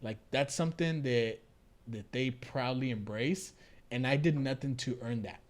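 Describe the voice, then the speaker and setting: A man's voice: mid-range voice, normal speed. American man in casual podcast conversation. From United States. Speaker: podcast co-host.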